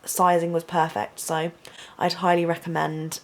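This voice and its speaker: A young woman, a student vlogger, chatting casually in her bedroom with lots of "like" and "um" and a low voice. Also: completely flat delivery, normal speed. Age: young adult. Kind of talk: casual vlog chat.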